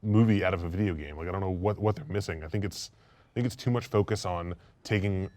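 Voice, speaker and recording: A man's voice: sits low, fast, a little mellow, no echo. A man speaking as a panelist in a casual discussion, often doubling back and repeating words mid-thought.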